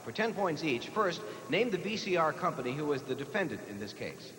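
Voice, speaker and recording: A man's voice: a little high, very animated, a little fast, a bit bright. American male quiz host in an echoey hall. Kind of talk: live quiz show hosting, reading questions. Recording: echoey hall, noisy old TV broadcast.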